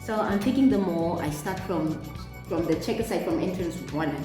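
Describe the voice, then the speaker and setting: A young woman with a South African accent talks through her makeup routine in a chatty storytime. She sits a bit low in pitch and jumps up when acting out conversations. Her voice rings off the walls of the room. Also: moderate pace. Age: young adult. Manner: animated, replays dialogue in a raised voice. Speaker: beauty vlogger. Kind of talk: chatty get-ready-with-me storytime. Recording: echoey room with music behind.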